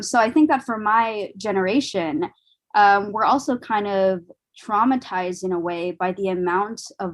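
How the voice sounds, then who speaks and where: A woman in her twenties speaking as a guest on a podcast roundtable, earnest and measured, with frequent "um"s. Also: medium pitch. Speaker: guest, doctoral student.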